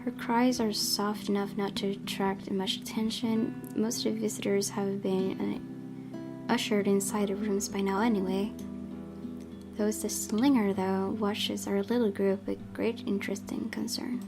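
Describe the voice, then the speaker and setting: A woman with a mid-pitched voice narrates a visual novel. Her reading is expressive and measured, in a storytelling style. Guitar music plays clearly behind her. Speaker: gaming video host reading a visual novel aloud.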